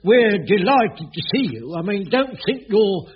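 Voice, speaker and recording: A flustered, stammering caretaker. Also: male voice, sits high, expressive, a little slow, slight background noise. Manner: stammering, flustered, full of hesitations. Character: flustered fussy middle-aged caretaker. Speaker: sitcom character. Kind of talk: 1980s studio radio sitcom acting. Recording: dull old radio recording.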